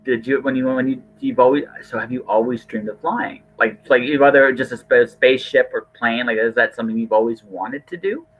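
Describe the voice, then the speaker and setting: Male podcast host, husky, mid-pitched, rambling and conversational, heard through narrow phone-quality audio. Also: fairly even intonation, a little fast, few pauses, soft background music. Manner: rambling, repeats and rephrases himself. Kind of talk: casual interview chat. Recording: thin, phone-like call audio.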